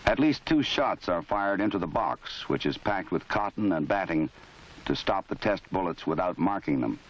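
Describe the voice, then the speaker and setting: A man giving formal, measured scripted narration for an old training film. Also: low voice, animated. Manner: measured, formal, matter-of-fact. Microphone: voice-over. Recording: dull lo-fi 1970s film soundtrack.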